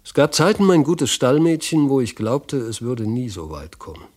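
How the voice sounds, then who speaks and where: A man's voice: medium pitch, sing-song, normal speed, a little mellow, voice pushed up. A male audiobook reader voicing a self-satisfied, affable but condescending Roman husband and rising ruler. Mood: tender, elated.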